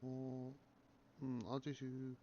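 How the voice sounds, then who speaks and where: A man with a croaky voice from a cough, calmly commentating a game and thinking aloud with many pauses. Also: mid-range voice, dull audio, a little hiss or hum. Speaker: gaming video creator. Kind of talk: relaxed gameplay commentary.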